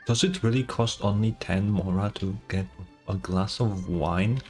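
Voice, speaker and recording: A man's voice: on the low side, unhurried, soft background music. A young man giving casual, slangy gaming commentary, often incredulous and swearing. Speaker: streamer reacting to a game.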